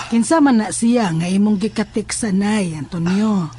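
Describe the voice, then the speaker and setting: A woman's voice: mid-range voice, moderate pace, dark tone, a little hiss or hum, natural voice. A woman with a Filipino accent playing a watchful, inquisitive mother of a grown son in an audio drama. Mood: curious, probing.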